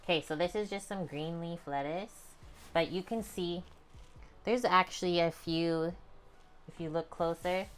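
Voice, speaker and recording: A young woman with a calm, chatty manner, walking viewers through a home cooking session in her kitchen. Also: on the low side, a little slow. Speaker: cooking streamer. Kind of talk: casual cooking demonstration.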